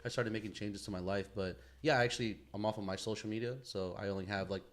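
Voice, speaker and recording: A man's voice: fairly low pitch, fairly even intonation, quick pace. A man co-hosting a podcast in casual, slangy conversation, addressing his friends in an informal way. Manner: informal, slangy, says "bro" and "man" often. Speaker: podcast co-host.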